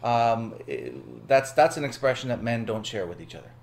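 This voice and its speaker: A man's voice: medium pitch, lively intonation, moderate pace, a little mellow, slight background noise. A man who is a guest on a panel talk show, speaking in an enthusiastic way with some hesitant fillers. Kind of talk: casual panel discussion.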